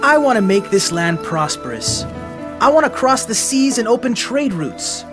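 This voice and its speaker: A brash young samurai warlord from a video game, ambitious and boastful. His pitch swings widely as he declaims his lines, with music behind him. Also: big pitch swings, normal speed. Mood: wistful, hopeful. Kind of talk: dramatic video game acting.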